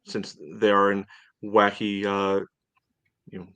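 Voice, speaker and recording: A middle-aged man hosting a casual sports podcast, meandering and halting, often padding his sentences with filler phrases. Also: lowish voice, monotone, a bit bright, clear, resonant tone, no echo. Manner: halting, meandering delivery with frequent "you know". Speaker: podcast host.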